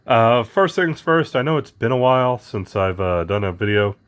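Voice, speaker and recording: A man with a hoarse, raspy voice from losing it, chatting casually as the host of an unboxing vlog, rambling with lots of fillers. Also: mid-range voice, animated, moderate pace. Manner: rambling, lots of ums and uhs.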